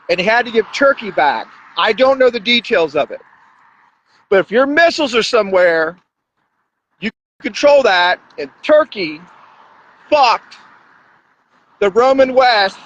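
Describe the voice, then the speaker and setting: A man with a high voice delivers a profane, rambling monologue in a blunt, crude way. The sound is dull and lo-fi. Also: fairly bright tone. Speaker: podcast host.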